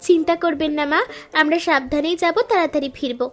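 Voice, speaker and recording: A young woman voicing a lively, modern younger daughter-in-law in a cartoon, chirpy and affectionate. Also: high-pitched, fast talker, soft background music, lightly acted. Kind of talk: cartoon voice acting.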